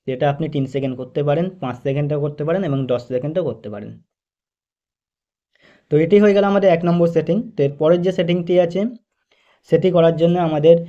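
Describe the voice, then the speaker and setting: Higher-pitched man, mellow tutorial voice. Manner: methodical, instructional, repetitive phrasing. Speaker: tech tutorial host. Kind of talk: step-by-step phone tutorial narration. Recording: dull, lo-fi voice-over recording.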